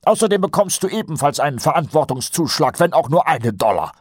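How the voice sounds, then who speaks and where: A man voicing a weary, reluctant older watch sergeant in an audiobook, husky and grudging, with some bluster. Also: a little high, big pitch swings, quick pace, no room sound, clean studio sound, voice pushed up, full character voice. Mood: grimly commanding. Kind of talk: audiobook character voice.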